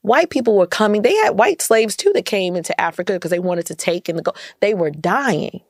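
A woman's voice: mid-range voice, animated, fairly quick, darkish tone, dry recording, clean studio sound. An American woman talking emphatically in a casual interview, often repeating phrases for stress as a podcast guest. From United States. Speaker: podcast guest, entrepreneur and community activist.

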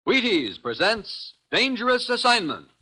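A man's voice: high-pitched, sing-song, a little slow, sharp tone, breathy edge, soft background music. A man announcing in grand, projected 1950s radio style on an old broadcast.

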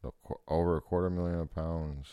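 A man's voice: sits low, steady tone, fairly quick, warm tone, clean tone, no room sound. A man co-hosting a podcast in casual chat, laid-back, laughing often, with curt replies. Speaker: podcast co-host.

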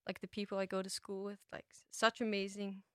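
A woman's voice: mid-range voice, little pitch movement, brisk, smooth, dry recording, studio quality. A young woman chatting casually as an interview guest, informal and peppering her stories with "like". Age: young adult. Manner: informal, filler-heavy with "like", quotes her own thoughts. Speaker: musician guest. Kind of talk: casual chat. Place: radio studio.

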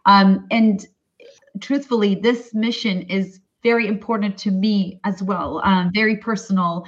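A woman's voice: medium pitch, steady tone, measured pace, highs cut off. Hesitant woman CEO from Turkey. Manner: hesitant, many fillers, sincere. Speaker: tech company CEO, panel guest. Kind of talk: webinar panel discussion.

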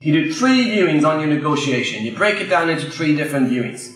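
Irish male trainer, high and bright, punchy repeated points to a live crowd, roomy echo. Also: very animated, fast. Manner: emphatic, repeats key phrases, addresses the crowd directly. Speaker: property investment trainer. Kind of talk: live Q&A coaching talk to an audience. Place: event room before a live audience. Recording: very echoey room.

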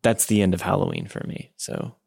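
A man's voice: low-pitched, brisk, gravelly, darkish tone, husky, no echo, studio quality. A man co-hosting a podcast, chatting casually and telling personal anecdotes with chatty enthusiasm. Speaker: podcast co-host.

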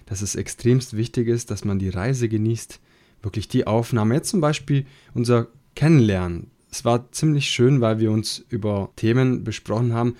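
A man's voice: medium pitch, normal speed, dark tone. A man from Italy who speaks German with an Italian accent, a podcast host talking in an earnest, musing way. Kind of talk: conversational podcast talk.

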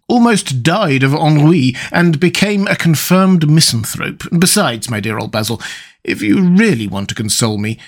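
Guy doing a vain young gentleman from an old novel, affected and theatrical, kinda high voice, really swoopy, totally self absorbed. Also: heavily acted. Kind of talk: dramatised audiobook reading of a classic novel.

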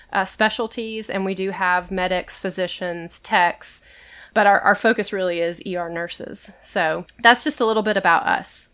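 A woman who is an emergency nurse hosting a podcast, talking casually while congested from a cold, relaxed and laughing often. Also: medium pitch. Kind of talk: casual interview chat.

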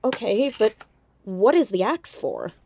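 A woman's voice: mid-range voice, wildly swooping pitch, measured pace, gravelly, a little mellow, breathy edge, no echo, exaggerated voice. An actress playing a determined, questioning American teenage girl investigator in an audio drama, earnest and direct. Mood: puzzled, questioning. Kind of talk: naturalistic audio drama acting.

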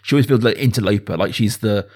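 A man's voice: lowish voice, fast, a little mellow, breathy edge. A man hosting a casual film discussion podcast, explaining things in thoughtful, enthusiastic detail. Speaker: podcast host.

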